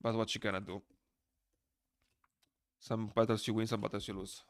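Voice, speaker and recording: A young man with a Moldovan accent chats loosely with his stream viewers while he draws. His voice sits on the low side with a lot of gravelly fry. It is recorded dry and close in a small room. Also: level tone, moderate pace, dry recording. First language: Romanian. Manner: rambling, reads chat aloud and answers it. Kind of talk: casual chat while drawing on stream.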